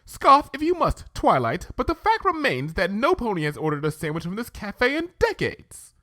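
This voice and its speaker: A man's voice: high-pitched, huge pitch swings, normal speed, bright, forceful tone, no room sound, clean studio sound, pitched above the performer's own voice, exaggerated voice. Man doing a haughty, swooping lady unicorn. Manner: theatrical, formal, affected. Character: refined, haughty female unicorn, dramatic, cartoon.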